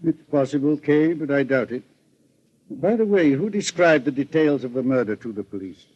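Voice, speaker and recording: An American man playing a composed, methodical private investigator in an old-time radio drama, speaking in a measured, formal way. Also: medium pitch, lively intonation, husky. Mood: measured, reassuring. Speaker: radio actor. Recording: dull vintage radio recording.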